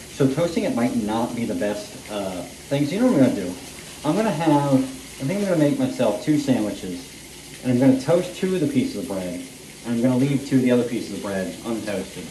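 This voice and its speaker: A man's voice: medium pitch, sing-song, brisk, dark tone. Rambling man cooking in small kitchen. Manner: rambling, self-deprecating, offhand. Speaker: home cooking vlogger. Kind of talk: casual cooking narration. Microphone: camera mic set up across the kitchen. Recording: echoey kitchen, faint frying noise. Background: frying and sizzling.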